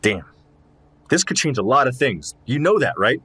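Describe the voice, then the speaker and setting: An actor playing a familiar, concerned fatherly friend in an audio drama, with a husky voice. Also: medium pitch, big pitch swings, normal speed, bright, forceful tone, no room sound, exaggerated voice. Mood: impressed, curious. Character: warm, concerned fatherly male friend of an investigator.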